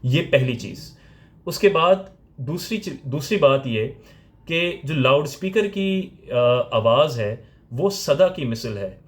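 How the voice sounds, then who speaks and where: A man with a Pakistani accent explaining religious points step by step, like a teacher giving a lecture. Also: medium pitch.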